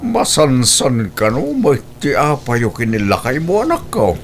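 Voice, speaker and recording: A man's voice: medium pitch, wildly swooping pitch, measured pace, music in the background. A man voicing an elderly, frugal father in an audio drama, advising and admonishing. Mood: concerned.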